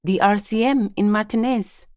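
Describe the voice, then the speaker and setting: An actress playing a guarded, matter-of-fact gardener in a video game, with an understated, polite but curt delivery. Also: female voice, mid-range voice, moderate pace, darkish tone, no echo, clean studio sound, close to an everyday voice. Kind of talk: game dialogue voice lines, understated and conversational.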